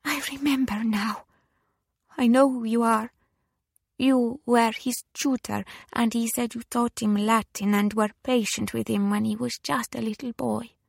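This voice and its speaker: An impulsive, charming Italian widow, as a female narrator plays her in an audiobook. Her accent is Italian and her delivery is effusive and dramatic. The pitch is lifted and the sound is close and dry. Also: fairly even intonation, measured pace, vocal fry, husky, no echo, exaggerated voice. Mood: tearful, soft.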